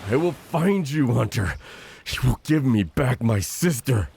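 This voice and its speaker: Man playing a gravelly, desperate water-creature brother. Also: mid-range voice, animated, measured pace, darkish tone, dry recording, full character voice. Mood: grim resolve. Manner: urgent, stubborn, commanding. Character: fierce water-bound male creature, desperate protective brother. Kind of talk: audio drama acting.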